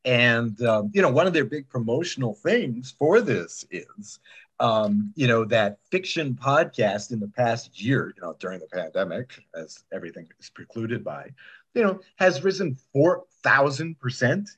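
A man's voice: medium pitch, very animated, a little slow, a bit bright, breathy edge. A man chatting as a podcast guest, opinionated and emphatic, repeating himself and asking rhetorical questions. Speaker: podcast guest, audio drama producer. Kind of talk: casual, opinionated chat. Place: remote call on a computer.

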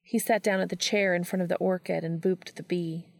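A woman's voice: fairly low pitch, fairly even intonation, fairly quick, gravelly, no room sound, clean studio sound. A woman reading a scripted story aloud as an audiobook narrator. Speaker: audiobook reader.

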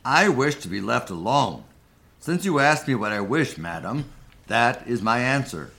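A man's voice: mid-range voice, big pitch swings, measured pace, creaky, sharp tone, heavily acted. An actor playing a grumpy, miserly old businessman with a curt, scornful manner in a radio play reading. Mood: curt, cold.

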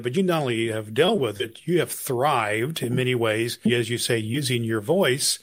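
A man hosting a podcast interview, asking long questions haltingly as he searches for words. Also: medium pitch. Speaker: podcast host.

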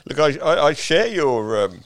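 A man interviewing a guest, asking measured, deliberate questions and stumbling over his openings. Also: a little high, big pitch swings, no room sound. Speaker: interviewer and columnist.

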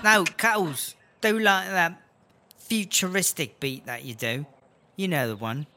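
A man's voice: high-pitched, measured pace, noisy background. A man playing a cocky female prison rap rival with a British accent, taunting and theatrical in slangy comedy drama. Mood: challenging. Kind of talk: comedy audio drama acting. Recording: music behind the voice.

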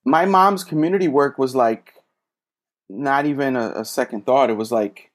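A mid-pitched man speaking slowly and reflectively, often pausing with "you know" and "um". He is a guest in a casual conversation. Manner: thoughtful, hesitant, many fillers. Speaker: guest, social worker.

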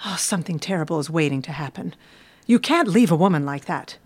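A woman's voice: low-pitched, lively intonation, fairly quick. An actress playing a prim, fretful middle-aged mother who scolds like a martyr in an audio drama. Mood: disapproving.

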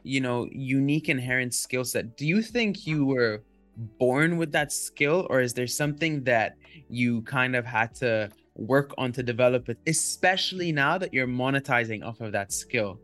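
A man's voice: medium pitch, normal speed, no echo. A male podcast host asks long, winding questions, often repeating words before he finds his point. The sound is close and dry, with faint music underneath. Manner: warm, rambling, stacked repeated words and fillers. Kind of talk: casual interview hosting.

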